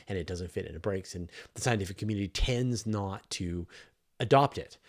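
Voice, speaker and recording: A man's voice: fairly low pitch, darkish tone. A man hosting a livestream, answering questions in a casual, conversational explainer style with everyday examples. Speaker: host of a space Q&A livestream.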